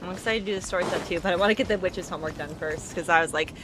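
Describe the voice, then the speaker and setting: A woman's voice: fairly low pitch, racing, poor audio. A woman giving casual live commentary as a game streamer. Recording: loud game music behind the voice.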